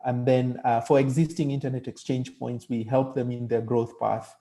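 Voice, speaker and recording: A man explaining a program on an online panel in measured, halting phrases. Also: mid-range voice, level tone, warm tone.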